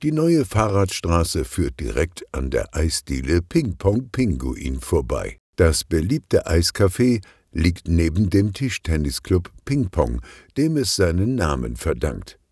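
A man narrates a children's detective story in a low, husky voice with plenty of creak. He takes his time, reading as an audiobook storyteller. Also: very animated, slow pace, darkish tone, no room sound, studio quality.

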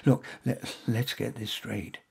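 A man voicing a cheerful woman in her thirties who speaks in a bright, teasing way. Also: fairly low pitch, fairly even intonation, takes it slowly, gravelly, husky, exaggerated voice. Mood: distant.

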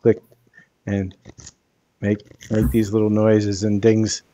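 A soft-spoken older man leads a live card reading at an easy, unhurried pace. His voice sits fairly low and stays calm and soothing throughout. He is heard close on a headset mic. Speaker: tarot card reader.

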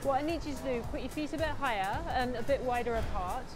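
A woman's voice: sits high, quick pace. A middle-aged woman instructing someone hands-on through exercises, often checking that they understand. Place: indoor gym. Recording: music behind the voice. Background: music.

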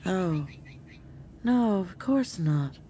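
Brash, animated, hard-drinking mother. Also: on the low side, drawn-out words, slight background noise. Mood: accusing, irritable. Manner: accusatory, foul-mouthed, curt. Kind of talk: audio drama acting.

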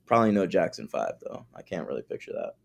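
A man's voice: deep voice, very animated, fast, creaky. A man co-hosting a podcast, talking casually and animatedly, joking and swearing. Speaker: podcast co-host.

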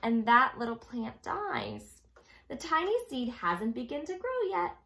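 A young woman hosts storytime for little kids, reading a picture book aloud in a high voice. Her delivery is slow and very expressive, with big swings in pitch as she speaks directly to her young listeners. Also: gravelly. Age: young adult. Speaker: storytime host reading to children. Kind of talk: animated children's picture book read-aloud. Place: small room at home, indoors.

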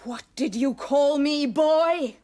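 A woman's voice: sits high, takes it slowly, warm tone. A woman voicing a tyrannical, power-hungry cartoon queen who speaks imperiously and drops into hissing whispers. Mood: menacing. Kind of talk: cartoon voice acting.

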